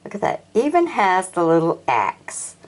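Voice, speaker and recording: A woman's voice: on the low side, wildly swooping pitch, a little slow, a little hiss or hum, low-quality recording. An older woman showing off toy vehicles from an auction, chatting casually and asking the viewer questions as she films them at a sunny windowsill. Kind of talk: casual show-and-tell narration.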